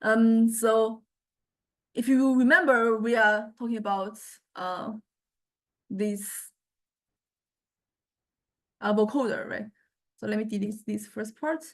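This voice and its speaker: A woman lecturer giving an informal, unscripted software demonstration, with halting phrasing and frequent check-in questions. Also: fairly high pitch, steady tone.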